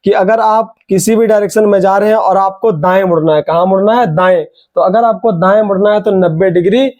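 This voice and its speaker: A man's voice: high-pitched, fairly quick, darkish tone, muffled recording. A young man with a sore throat teaching an online exam reasoning class, urging students on and repeating key words.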